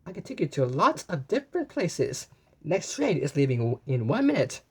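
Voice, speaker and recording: A woman's voice: low-pitched, very animated, moderate pace, a little mellow, slightly rough, heavily acted. A woman voicing a jolly, boisterous dinosaur train conductor who makes announcements in a children's story. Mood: helpful.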